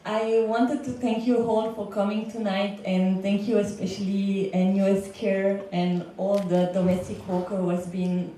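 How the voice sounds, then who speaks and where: A woman's voice: fairly low pitch, mellow, a little hiss or hum. Belgian woman, 30s, panelist with fillers; roomy, distant hall sound. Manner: speaks with fillers and small restarts. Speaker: panelist, legal consultant. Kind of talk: panel talk. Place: large lecture hall. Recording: echoey lecture hall, far from mic.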